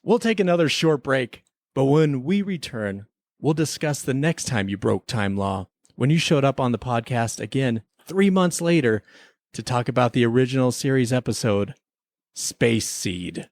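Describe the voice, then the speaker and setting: A man's voice: medium pitch, expressive, normal speed, dry recording, studio quality. A man hosting a podcast, bantering in a teasing, mock-formal courtroom style. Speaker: podcast host. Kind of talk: semi-scripted mock-tribunal podcast banter.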